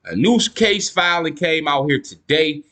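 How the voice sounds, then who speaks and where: A man's voice: a little high, measured pace, sharp tone. A young man giving casual commentary, reading court filings aloud to viewers and calling them y'all. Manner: slangy, addresses viewers as y'all, reads documents aloud with stumbles. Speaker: YouTube case-file commentator.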